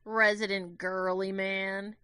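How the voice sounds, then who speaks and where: A woman's voice: mid-range voice, little pitch movement, slow pace, sharp tone, clear, resonant tone, dry recording, voice pushed up. A woman playing a boastful, taunting teenage boy bully in an audio drama, roaring and mocking.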